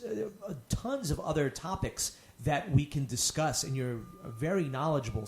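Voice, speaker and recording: A man's voice: fairly high pitch, a little hiss or hum. A man hosting a podcast interview, friendly and complimentary toward his guest. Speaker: podcast host. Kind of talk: casual interview. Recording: echoey room.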